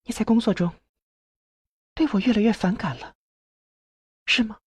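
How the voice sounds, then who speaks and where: A young military woman played by a male narrator speaks high and soft in an audiobook. The voice is breathy and hushed, coaxing and perceptive, in a dry close recording. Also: normal speed, dry recording. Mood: probing, wry. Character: young female military researcher, quietly persuasive and perceptive.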